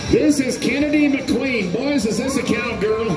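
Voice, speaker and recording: High-voiced joking rodeo announcer. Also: male voice, a little slow. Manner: folksy, joking crowd banter. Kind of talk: live arena announcing over PA. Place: outdoor rodeo arena. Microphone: PA heard from phone in stands. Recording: echoey arena PA with loud music and crowd.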